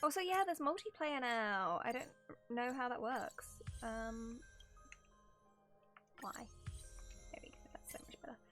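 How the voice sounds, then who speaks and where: Giggly woman streamer with a higher voice. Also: measured pace, long pauses, bright, forceful tone, soft background music. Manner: giggly, bubbly, chatty. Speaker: livestreamer. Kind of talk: casual livestream chat while starting a game.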